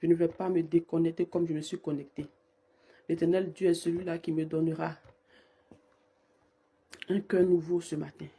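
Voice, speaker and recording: A woman with a cold leads a streamed morning prayer, her voice hoarse and husky. She keeps a dark, mellow tone and a flat, level delivery. She builds fervent, repeated invocations. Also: low-pitched, normal speed, pauses often. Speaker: prayer leader.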